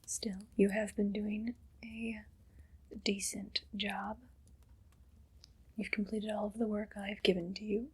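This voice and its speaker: Lady doing an evil queen asmr roleplay, super breathy whisper, really slow, snooty and bossy. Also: mid-range voice, little pitch movement. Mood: cool, suspicious. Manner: slow, deliberate, imperious. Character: haughty, condescending evil queen, coldly teasing.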